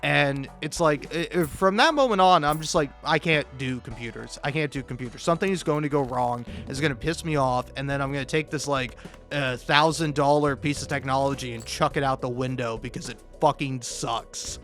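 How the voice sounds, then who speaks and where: A man's voice: mid-range voice, a little fast, a bit bright, soft background music. A man doing casual, rambling YouTube gaming commentary, stammering and restarting often, with profane, self-deprecating talk.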